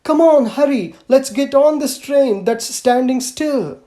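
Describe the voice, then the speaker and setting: A man voicing a curious, eager, joyful little girl in a children's audiobook, with excited exclamations. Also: extremely high pitch, unhurried, pitched above the performer's own voice. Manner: excited, exclaiming, wide-eyed.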